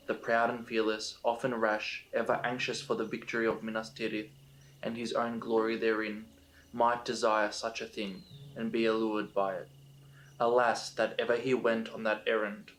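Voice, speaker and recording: A man's voice: medium pitch, lightly acted, low-quality recording. A man reads as a proud male captain, grave and earnest, in a level voice that barely rises or falls. A faint hiss runs behind this storybook reading.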